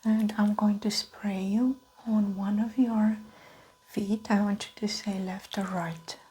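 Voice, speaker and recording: A woman doing a soft-spoken ASMR medical roleplay, gently giving step-by-step instructions in a breathy near-whisper. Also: mid-range voice, steady tone, slow. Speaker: ASMR host playing a doctor.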